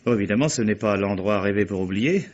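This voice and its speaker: An actor playing a cynical, calculating murderer with a cutting, sardonic manner in a radio mystery drama. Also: male voice, on the low side, quick pace, lightly acted. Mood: guarded, dry. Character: cynical, calculating murderer nephew taunting his guilty accomplice. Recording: muffled old radio drama recording.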